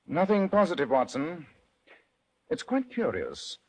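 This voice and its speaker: An actor playing a shrewd, composed gentleman detective in an old-time radio drama, speaking precisely and with formal courtesy. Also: a little high, huge pitch swings, a little slow, exaggerated voice. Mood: analytical. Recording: vintage radio drama recording.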